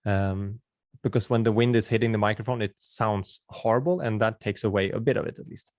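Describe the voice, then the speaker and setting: A man's voice: on the low side, a little fast, a little mellow, no room sound, clean studio sound. A man from Sweden with a Swedish accent, hosting a webinar and chatting casually as he answers viewer questions, often restarting his sentences. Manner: friendly and chatty, with frequent restarts and filler words.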